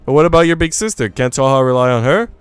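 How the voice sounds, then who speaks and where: A man's voice: mid-range voice, expressive, fast, smooth, no room sound, soft background music, natural voice. A man voicing an earnest, easily flustered young maid from a visual novel, with a hesitant stammer. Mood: flustered, defensive.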